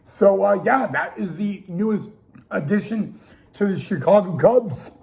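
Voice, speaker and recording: A man's voice: high voice, animated, a little slow, gravelly, darkish tone. A young man hosting a casual sports talk show, losing his voice and restarting often with stammered repeats. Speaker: podcast host.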